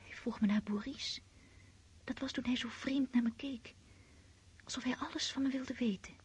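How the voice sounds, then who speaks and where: A woman's voice: high-pitched, steady tone, moderate pace. An actress playing a romantic, anxious girl of seventeen in a radio play, in an intimate whispery voice. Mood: anxious, wondering. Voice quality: breathy, whispery.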